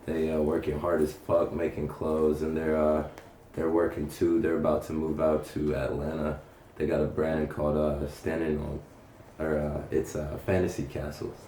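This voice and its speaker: Very deep, flat-toned young man, echoey. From North Carolina, United States. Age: young adult. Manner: profane, slangy, halting. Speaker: punk rapper being interviewed. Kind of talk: casual chat. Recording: roomy echo, faint background noise.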